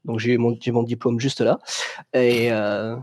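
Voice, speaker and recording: A man's voice: mid-range voice, little pitch movement, unhurried, darkish tone. A young man from France talking casually as a guest, full of fillers and restarts. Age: young adult. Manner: hesitant, many fillers and restarts. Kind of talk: casual chat.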